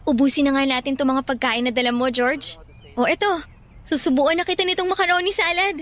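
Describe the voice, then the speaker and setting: A woman's voice: high-pitched, steady tone, fairly quick, a bit bright. An actress playing a cheerful, sweet young female student with a playful, teasing manner in a radio-style drama.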